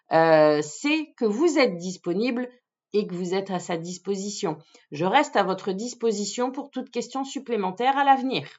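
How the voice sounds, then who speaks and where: Woman coach, lively pitch, slightly muffled recording. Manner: didactic, step-by-step explaining. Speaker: phone sales coach presenting a tips video. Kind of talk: instructional talk to viewers.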